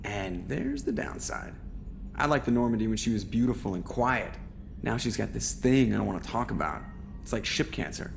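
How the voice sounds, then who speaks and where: Sardonic, excitable male pilot, very lively intonation. Video game role. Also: on the low side, normal speed, gravelly, slight background noise. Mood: sour. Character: wisecracking, sarcastic male starship pilot.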